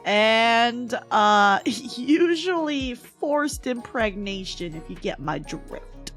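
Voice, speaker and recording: A woman with a fairly high voice that is hoarse and cracks as it gives out. She talks at an unhurried pace, playful and giggly, as she hosts a casual livestream and answers chat. Music plays behind her. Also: expressive, fairly bright tone. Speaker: livestream host, VTuber. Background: background music.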